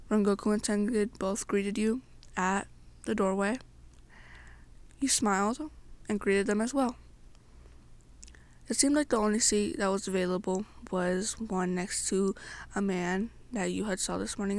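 A young woman narrating an ASMR story to the listener in a halting way, pausing between short phrases. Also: medium pitch, gravelly. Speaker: amateur roleplay narrator.

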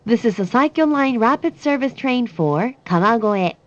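A woman giving clear recorded English announcements for a train. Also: a little high, lively intonation, slow pace, dry recording. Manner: clear, evenly paced announcer delivery. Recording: dull dry sound over train speakers.

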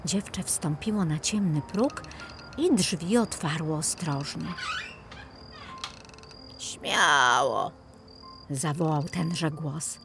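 Casting: female fairy-tale audiobook narrator, unhurried, slightly low, creaky voice, faint music. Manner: measured, storytelling.

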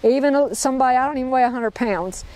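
A woman's voice: sits high, fast, darkish tone, low-quality recording. A woman teaching a group to tie knots outdoors in a park, upbeat, repeating each step and checking in with the group. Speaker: knot-tying instructor. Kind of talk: hands-on outdoor demonstration.

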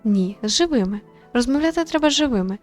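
Composed young female medium for a story game, measured and courteous, higher voice acted by a woman. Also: faint music behind, close to an everyday voice. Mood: modest, reflective. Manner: calm, polite, measured.

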